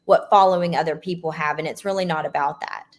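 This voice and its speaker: A woman with a fairly low voice speaks as a guest on an interview podcast. She tells her story in a friendly, matter-of-fact way in a casual chat. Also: steady tone, fast.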